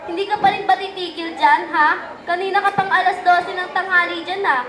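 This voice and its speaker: A young-sounding woman plays a puppet-show mother who nags and cares for her schoolgirl daughter. Her voice is very high and bright, and it rings in an echoey room. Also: steady tone, moderate pace. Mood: exasperated scolding. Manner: nagging.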